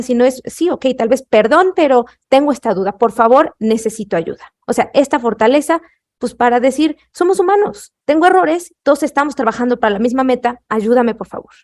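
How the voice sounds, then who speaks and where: A woman interviewer who asks questions and makes affirming comments in a measured way. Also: high-pitched, animated, a little mellow.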